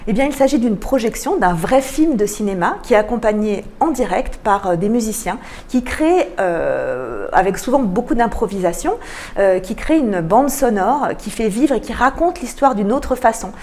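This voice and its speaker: A woman's voice: medium pitch, animated, normal speed, a little mellow. A woman answering as a radio interview guest, giving articulate, enthusiastic explanations as a cultural programme manager.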